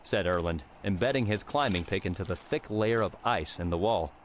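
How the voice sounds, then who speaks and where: Low-voiced man narrating an adventure audiobook. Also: lively intonation, normal speed, slight background noise. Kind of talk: scripted narration of an adventure novel.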